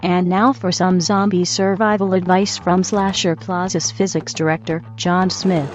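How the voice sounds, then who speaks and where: A woman's voice: on the low side, level tone, unhurried, soft background music, natural voice. A woman playing an impersonal emergency broadcast announcer, reading scripted warnings in an official manner. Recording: dull, dry lo-fi broadcast.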